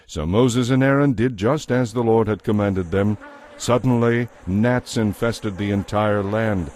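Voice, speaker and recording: A man narrating a dramatized audio Bible as a solemn, measured storyteller. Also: lowish voice, a little mellow, no echo, clean studio sound.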